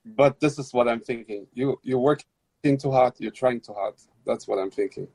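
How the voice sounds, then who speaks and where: A man's voice: mid-range voice, normal speed, a little mellow, breathy edge, no echo, low-quality recording. Blunt man from Germany, dry sound. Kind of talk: casual chat.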